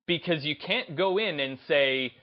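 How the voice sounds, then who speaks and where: A man giving opinionated, unscripted commentary as a video host, stressing his points emphatically and deliberately with short declarations. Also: a little high, dull audio.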